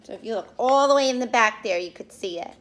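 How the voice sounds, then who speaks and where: High-pitched, animated woman reading to children. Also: fast talker, low-quality recording. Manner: expressive, teacherly, explains words and asks questions. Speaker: read-aloud storyteller for young children. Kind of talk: animated picture-book read-aloud with asides to children.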